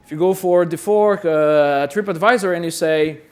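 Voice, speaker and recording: A man's voice: a little high, normal speed, darkish tone. A man from Brazil giving a chatty, self-deprecating conference talk, often repeating words as he goes. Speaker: conference speaker. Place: conference hall.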